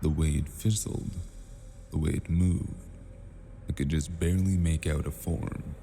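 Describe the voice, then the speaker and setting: A man narrating and playing the lead in a noir audio drama, in a slow, brooding, dry manner. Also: extremely low pitch, steady tone, creaky, mellow, long reverb tail, a little hiss or hum.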